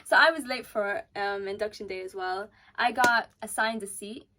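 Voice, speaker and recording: A young woman guest in a casual girl-talk chat, with an average-pitched voice. She talks in a relaxed, talkative way. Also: moderate pace, background noise. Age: young adult.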